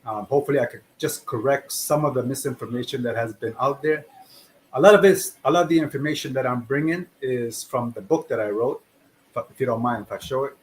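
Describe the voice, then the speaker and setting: A man's voice: mid-range voice, rough recording. Earnest middle-aged man, some background noise. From born in Chile. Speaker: podcast guest and author. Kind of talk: conversational interview answers.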